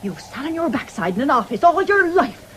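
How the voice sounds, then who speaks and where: An actress in a 1970s radio drama playing a stern, stubborn farming widow who is blunt and forthright. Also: female voice, sits high, very animated, a little fast, crowd noise. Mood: indignant, defiant. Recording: noisy old radio drama recording.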